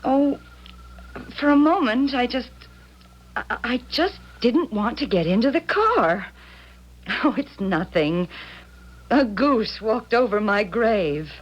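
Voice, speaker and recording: A woman's voice: a little high, animated, takes it slowly, pauses often. An actress playing a fretful, polite married woman in a 1970s radio drama, hesitant and apologetic. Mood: spooked. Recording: dull, noisy 1970s radio broadcast.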